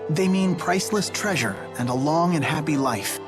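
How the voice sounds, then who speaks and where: A boyish teenage lad voiced by a man, kind and inquisitive, with a very lively range of pitch. He sounds earnest and thoughtful, as in an anime game, with music behind. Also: moderate pace, natural voice. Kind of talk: anime game dub acting.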